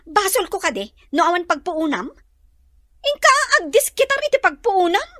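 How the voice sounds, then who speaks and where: Very high plaintive young wife. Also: female voice, very animated, normal speed, a bit bright, no echo, studio quality, exaggerated voice. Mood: reproachful, hurt. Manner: plaintive, reproachful. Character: hurt, pleading young pregnant wife. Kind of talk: radio drama acting.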